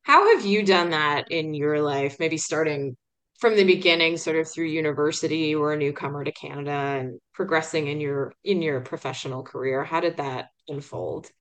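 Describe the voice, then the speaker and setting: A woman's voice: sits low, normal speed, fairly bright tone. A woman hosting an interview, asking questions in an encouraging, affirming way. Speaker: podcast host. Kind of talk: casual interview.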